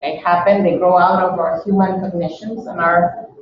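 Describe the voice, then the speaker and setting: A woman's voice: lowish voice, steady tone, normal speed, background noise. A woman from Chile, a language teacher, lectures on bias. She explains point by point and often checks in with "okay?". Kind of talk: workshop lecture. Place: meeting room. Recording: distant, echoey, dull room recording.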